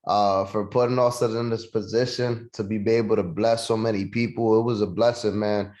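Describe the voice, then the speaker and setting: A man's voice: on the low side, steady tone, moderate pace, a little mellow. Slangy New man leading a training. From Puerto Rico, raised in New York City. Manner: casual and slangy, calls listeners "man" and "bro". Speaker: sales trainer. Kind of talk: online sales training talk.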